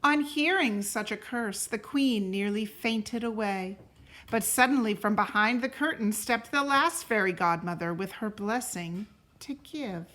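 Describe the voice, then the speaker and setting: A woman reading a storybook aloud to a class as a teacher, in a deliberate, expressive way. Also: medium pitch. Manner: deliberate and expressive, pausing to question listeners.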